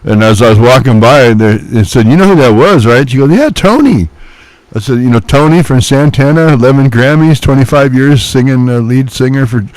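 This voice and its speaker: A man's voice: mid-range voice, expressive, fairly quick, a little mellow, no echo, rough recording. Man chatting casually, dry close sound. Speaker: musician chatting on CB radio. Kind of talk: casual radio chat.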